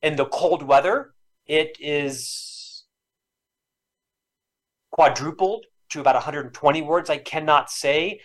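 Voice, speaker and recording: This is a man in his fifties who has stuttered all his life. As a podcast guest he speaks in an earnest, reflective way, and his voice sits a little high for a man. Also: takes it slowly, pauses often. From Florida, US. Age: 50s. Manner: lifelong stutterer, earnest and emotional. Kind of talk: reflective storytelling interview.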